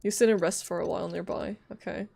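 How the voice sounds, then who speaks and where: A woman's voice: on the low side, fairly even intonation, fast talker, vocal fry, loud music behind the voice. A woman livestreaming a game, casually commenting, joking to herself and reading dialogue aloud in a playful way. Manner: playful, joking, muttering to self.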